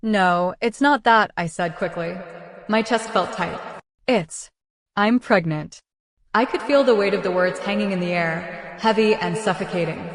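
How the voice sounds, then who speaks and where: A woman playing a frightened but determined pregnant teenage girl in a dramatic roleplay story, halting and emotional. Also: mid-range voice, lightly acted. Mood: anxious. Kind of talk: dramatic first-person roleplay story acting and narration. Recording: echoey, long reverb, music underneath.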